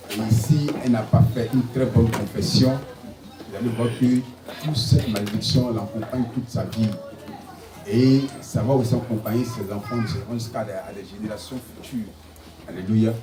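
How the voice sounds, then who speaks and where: Pastor in his forties, echoey church. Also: fairly low pitch. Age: 40s. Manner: punctuates phrases with alleluia and amen. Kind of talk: sermon. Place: church hall. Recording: echoey hall, distant mic, noisy.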